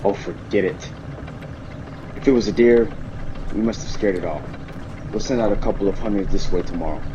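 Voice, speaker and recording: Clear vehicle noise surrounds a low-voiced survivor played by a man. He is gruff, bitter and quick to curse, in the manner of a post-apocalyptic audio drama. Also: lo-fi sound, close to an everyday voice. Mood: watchful. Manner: gruff, cursing, distrustful. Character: bitter, angry male survivor scouting for food. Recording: clearly noisy, vehicle and outdoor ambience.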